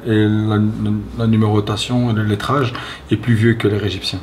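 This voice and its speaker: A low-voiced man answers an interviewer slowly and thoughtfully, stopping and restarting as he searches for words. Faint ambience hums in the background. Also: flat delivery, darkish tone, a little hiss or hum. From Belgium. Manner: thoughtful, halting, many fillers and restarts. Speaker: guest, retired fighter. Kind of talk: reflective interview talk.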